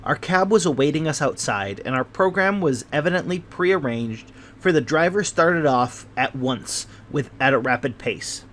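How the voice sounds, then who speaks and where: A man reading a classic novel aloud as narrator, in a measured way with the odd slip and restart. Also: on the high side, lively intonation, a bit bright, a little hiss or hum. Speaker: narrator and channel host.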